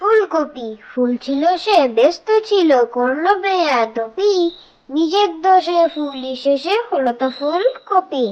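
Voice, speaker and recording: A high, childlike voice reciting nursery rhymes for children in a sing-song way, line by line. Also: animated, slow, lo-fi sound.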